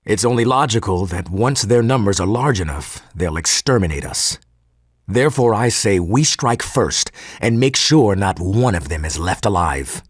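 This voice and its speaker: Male scientist, low husky voice, formal and articulate, game audio-log monologue, very dry close sound. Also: expressive, moderate pace, no room sound. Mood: cold, resolute. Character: earnest male geneticist turning cold and militant.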